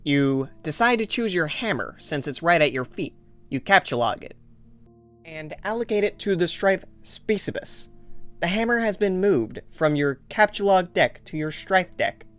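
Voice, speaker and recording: A man's voice: on the high side, animated, moderate pace, fairly bright tone, soft background music. A man narrating a game-like adventure story in scripted second person for an amateur fandub. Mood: measured storytelling.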